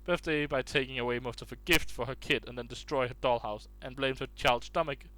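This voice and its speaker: A man's voice: mid-range voice, fairly even intonation, a little fast, a bit bright, rough recording. Casual man reading online stories aloud. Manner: restarts and stumbles while reading aloud. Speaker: reader of online posts.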